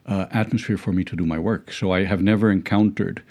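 Low-voiced Dutch-accented middle-aged man. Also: steady tone, fairly quick, darkish tone. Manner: hesitant, many fillers and restarts, wry chuckles. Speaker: legal philosophy professor. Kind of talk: reflective interview talk.

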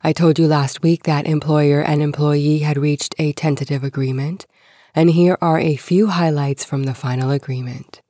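Low-pitched, mellow-voiced woman hosting a podcast. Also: dry recording, studio quality. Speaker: podcast host. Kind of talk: scripted podcast narration of news.

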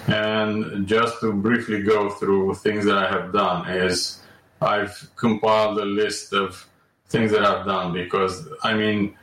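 A man from Romania, a podcast guest speaking slowly with frequent ums and uhs. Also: fairly low pitch, little pitch movement, a bit bright. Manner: measured and slow, many ums and uhs. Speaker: guest, alternative medicine practitioner. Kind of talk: podcast interview. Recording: echoey room, distant mic.

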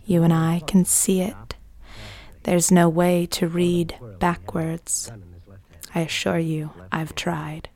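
An actress plays a haunted woman confiding her secrets in an audio drama. Her voice is low and creaky, often dropping to a half-whisper. She speaks slowly and broodingly, with deliberate pauses. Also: level tone, darkish tone. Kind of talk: audio drama monologue, intimate narration.

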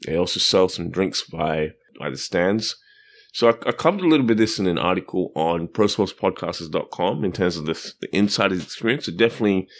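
A man on a sports podcast, recounting a live game in a measured, descriptive way. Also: low-pitched. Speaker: podcast co-host reporting from the game. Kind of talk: casual sports podcast chat.

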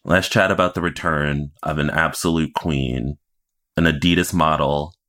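A man with a remarkably deep voice talks as a podcast co-host in a casual TV recap. His manner is opinionated and emphatic, with a playful streak. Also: steady tone, moderate pace, fairly bright tone.

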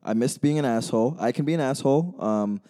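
A man's voice: medium pitch, quick pace, mellow. A man co-hosting a casual sports podcast, talking in a rambling, self-deprecating way with many restarts. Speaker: podcast co-host.

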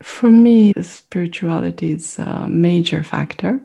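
A middle-aged woman whose first language is French, speaking as an interview guest. She talks in a thoughtful, reflective way, pausing often as she searches for words. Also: lowish voice, gravelly, warm tone, slightly rough. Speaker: mindfulness meditation teacher, guest.

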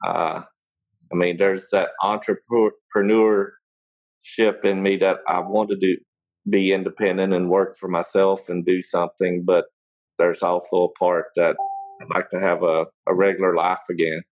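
Hesitant low-voiced man. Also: flat delivery, normal speed, rough recording. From Germany. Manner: hesitant, many fillers and restarts. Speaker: guest, small business owner. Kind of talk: casual interview answers.

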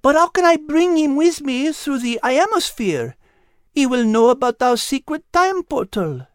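A narrator voicing a gentle, earnest female cat with a French accent in an audiobook. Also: high voice, sing-song, measured pace, dry recording, clean studio sound, pitched above the performer's own voice. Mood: concerned.

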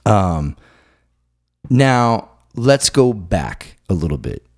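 A middle-aged man with a lowish voice hosts a relaxed conversation. He rambles through his stories, restarting sentences and swearing casually. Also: drawn-out words. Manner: loose, profane, rambling storyteller. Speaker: podcast host. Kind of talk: casual interview chat.

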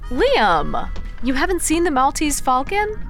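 A woman's voice: high voice, huge pitch swings, measured pace, soft background music, heavily acted. A woman playing a teasing, irreverent young woman in an audio drama, casually sarcastic.

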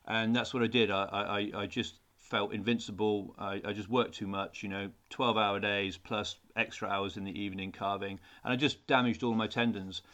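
A middle-aged man talks through podcast questions in a low voice. He speaks earnestly and often stumbles into repeated words as he restarts a thought. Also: steady tone, moderate pace, few pauses, fairly bright tone. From England. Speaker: design teacher, podcast guest. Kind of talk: conversational interview answers.

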